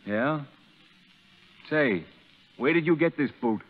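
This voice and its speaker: A man's voice: on the high side, animated, slow pace. A man playing a folksy, shrewd army sergeant in 1930s radio drama, with colloquial phrasing. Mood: friendly, sly. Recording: narrow 1930s radio recording, long reverb.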